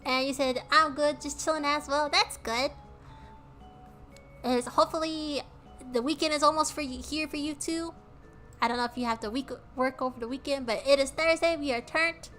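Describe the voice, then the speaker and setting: A woman's voice: high voice, moderate pace, a bit bright, faint music behind. A woman streaming a game, chatting casually with her chat in a bubbly, giggly way. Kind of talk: casual chat with live chat while playing a game.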